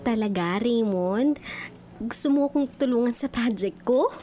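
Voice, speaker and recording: A woman's voice: a little high, normal speed, lightly acted. An actress playing a giddy, hopeful young woman with a crush in a Filipino radio drama, gushing in a girlish way with bits of English slang. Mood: shy, pleased. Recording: music bed behind the voice.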